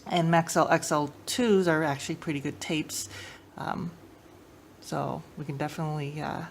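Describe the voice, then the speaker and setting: Low-pitched woman, casual how-to, noisy recording. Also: steady tone, normal speed. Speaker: host of a tape deck tech channel. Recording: clear background noise, room ambience.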